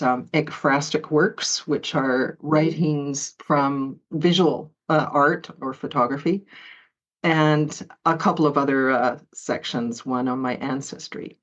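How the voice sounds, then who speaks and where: A woman author being interviewed, talking in a chatty way with frequent laughs and hesitant fillers. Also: sits low. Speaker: author guest. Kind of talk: conversational interview.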